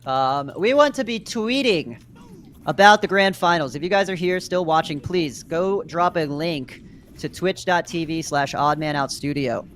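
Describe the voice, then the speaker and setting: A man doing energetic play-by-play commentary for an online esports tournament. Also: on the high side, a little slow, slight background noise. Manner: energetic, rises to excited shouts in big plays. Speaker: play-by-play caster.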